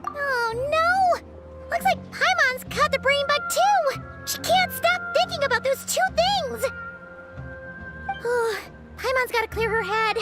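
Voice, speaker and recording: A woman's voice: very high-pitched, animated, slow pace, sharp tone, faint music behind, full character voice. A woman voicing a tiny, bossy and dramatic fairy girl companion who talks about herself in the third person in a game cutscene. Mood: frantic.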